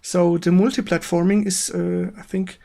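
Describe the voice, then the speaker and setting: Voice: mid-range voice, little pitch movement, normal speed, gravelly, warm tone. A game stream host with a German accent, chatting casually and searching for words in a second language.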